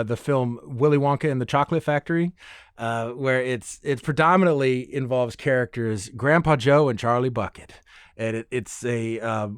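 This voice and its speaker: A man's voice: mid-range voice, dry recording, clean studio sound. A man hosting a podcast alone in a casual, rambling and self-deprecating way. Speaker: podcast host. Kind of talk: casual solo chat.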